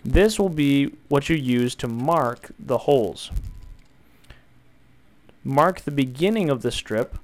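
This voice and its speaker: A young man calmly walking viewers through a build, step by step, in an instructional voice-over. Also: mid-range voice, takes it slowly, pauses often. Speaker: DIY tutorial maker.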